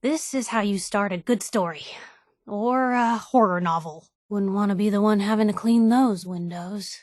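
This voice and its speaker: A young woman with an American accent playing a plucky, wisecracking reporter companion in a video game, with a wry, expressive delivery. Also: mid-range voice, normal speed, no echo, natural voice. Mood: wry, musing. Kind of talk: video game voice acting, in-character banter.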